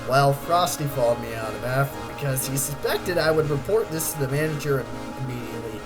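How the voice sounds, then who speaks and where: A man's voice: mid-range voice, animated, fairly quick. A man narrating a first-person story as a comedic dramatic reading. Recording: loud background music. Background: music.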